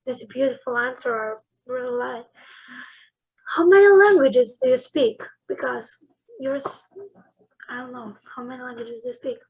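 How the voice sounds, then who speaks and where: A woman interviewer asking eager, warm questions. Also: sits high, normal speed, slightly rough, low-quality recording. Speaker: student interviewer.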